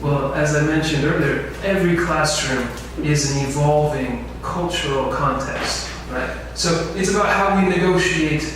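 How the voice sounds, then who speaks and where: A man's voice: a little high, moderate pace, hardly any pauses. A man teaching a lecture to a class, often putting questions to the room. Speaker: instructor. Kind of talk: info session lecture. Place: large echoey lecture room. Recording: very echoey room, distant mic, faint noise.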